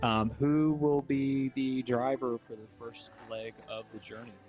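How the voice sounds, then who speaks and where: Man, game master, relaxed filler-heavy explaining, faint background music. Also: medium pitch, unhurried. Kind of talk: running a tabletop game.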